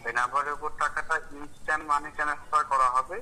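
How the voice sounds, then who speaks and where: A man's voice: on the high side, level tone, quick pace, noisy background. A man making an unscripted phone call as a caller posing as bank staff, giving instructions in an insistent, officious manner.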